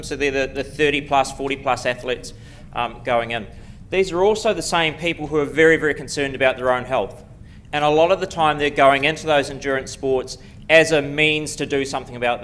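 New Zealand accent, middle-aged man, mid-pitched voice, quick self-deprecating lecture, lectern mic, faint low rumble behind. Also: a bit bright. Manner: quick, self-deprecating, many ums and restarts. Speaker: conference speaker. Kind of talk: conference lecture. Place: lecture room before a seated audience. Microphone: podium mic at a lectern.

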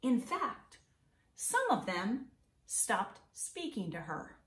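A middle-aged woman reading a picture book aloud to children in an expressive, deliberate storytelling way. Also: on the high side, roomy sound. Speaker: children's read-aloud presenter.

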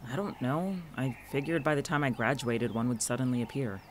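A man's voice: mid-range voice, a little fast, a little hiss or hum, natural voice. An actor playing a guarded, sarcastic male college student in a fiction podcast, with a dry, curt manner.

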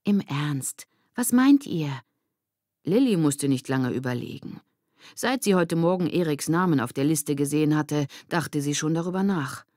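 A woman narrating a children's audiobook in a clear, measured, expressive storybook style. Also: deep voice, no room sound, studio quality.

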